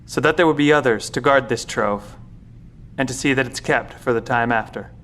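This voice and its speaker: A man acting in an audio drama as a weary, secretive professor with a measured, explanatory way of talking. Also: mid-range voice, fairly bright tone, close to an everyday voice. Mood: solemn. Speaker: professor, secret bunker guardian.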